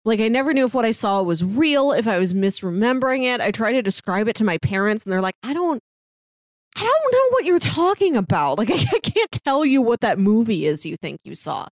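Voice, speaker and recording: A woman's voice: a little high, sing-song, a little fast, no room sound, studio quality. A woman co-hosting a podcast, chatting casually as she gives recommendations and retells stories, acting out bits of imagined dialogue. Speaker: podcast co-host giving recommendations. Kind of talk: casual chat about films, books and audio dramas.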